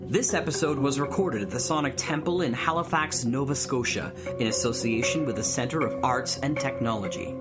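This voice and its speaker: Man announcing over loud music. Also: medium pitch, normal speed, hardly any pauses. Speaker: credits announcer. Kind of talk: scripted radio drama credits.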